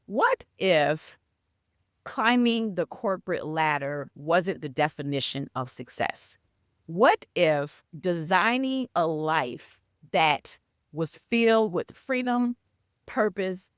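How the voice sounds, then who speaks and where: An upbeat woman hosting a podcast and working as a coach. She speaks slowly and deliberately, often turning to rhetorical questions for her listeners. The sound is dry and close. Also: low-pitched, very animated, no echo. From Mexico.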